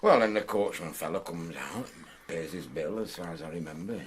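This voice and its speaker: An actor playing a chatty, blunt Yorkshire pub landlord in a radio drama, talking in colloquial dialect. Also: male voice, sits low, animated, fairly quick, a little hiss or hum. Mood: recollecting.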